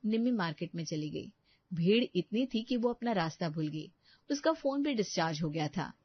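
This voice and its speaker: A woman's voice: fairly low pitch, animated, moderate pace, dry recording, highs cut off. A woman reading a scripted story as its narrator.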